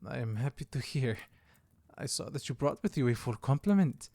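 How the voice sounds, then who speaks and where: A man plays a diplomatic vampire clan elder in an audio roleplay. His mid-range voice has a lot of gravelly fry and is recorded dry. He speaks formally, measured and unfailingly polite. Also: mid-range voice, animated, mellow, no echo. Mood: diplomatic, composed. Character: courteous, diplomatic youthful-looking male elder of vampire clan. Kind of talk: audio roleplay drama acting.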